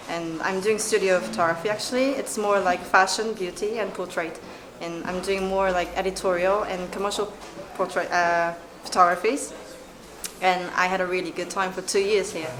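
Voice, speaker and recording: A woman's voice: fairly low pitch, steady tone, normal speed, low-quality recording. A woman from South Korea with a Korean accent, presenting her photography portfolio, searching for words as she goes. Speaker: photography student. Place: student exhibition. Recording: busy crowd around. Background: crowd chatter.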